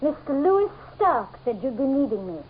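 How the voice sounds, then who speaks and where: A woman's voice: high-pitched, big pitch swings, normal speed, a little hiss or hum. An actress playing a sly, insistent lady's maid with sinister composure in an old-time radio mystery, coaxing and knowing. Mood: deferential. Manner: coaxing, knowing, deferential on the surface. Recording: dull old radio drama recording.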